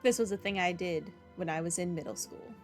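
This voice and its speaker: A woman's voice: on the low side, a little fast, faint music behind. A woman giving casual, terse, dry asides as she plays a video game. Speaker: video game playthrough commentator. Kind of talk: casual offhand commentary over gameplay.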